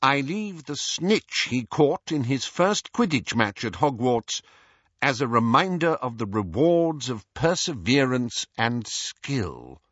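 A man voicing a stern, suspicious older government minister in an audiobook, with measured, insistent questioning. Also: medium pitch, sing-song, fairly bright tone, no echo, lo-fi sound, voice pushed up, full character voice. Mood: formal, stately. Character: stern, probing older male government minister interrogating youngsters. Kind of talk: audiobook character voice.

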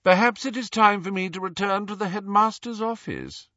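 A male narrator performs a sneering old professor living in a portrait, husky and swooping in pitch. He speaks with formal, indignant pomposity, as in a storybook read aloud. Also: huge pitch swings, a little slow, pushed and bright, dry recording, dull audio, exaggerated voice. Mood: sneering, weary.

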